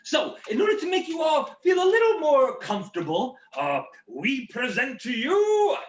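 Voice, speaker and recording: A man acts out a bumbling German storyteller, eager and a little tipsy, for a comic stage show. The voice is high and lively, stammering apologetically, with room echo around it. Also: moderate pace, highs cut off, full character voice. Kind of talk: comedic stage patter and rhymed storytelling. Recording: roomy, echoey home recording.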